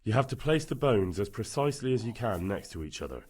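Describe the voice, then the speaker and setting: An actor playing an earnest, helpful young medieval villager in a video game, speaking plainly. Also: male voice, fairly low pitch, big pitch swings, fast, a little mellow, slightly rough. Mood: earnest, concerned. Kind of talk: video game cutscene dialogue.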